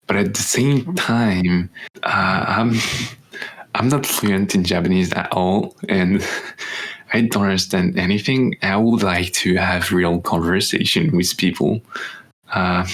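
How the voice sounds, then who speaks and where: A man's voice: deep voice, takes it slowly, a bit bright. A young French man in his twenties, whose first language is French, co-hosting a podcast in English with a French accent, hesitant and searching for words. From France. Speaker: podcast co-host. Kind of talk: casual chat.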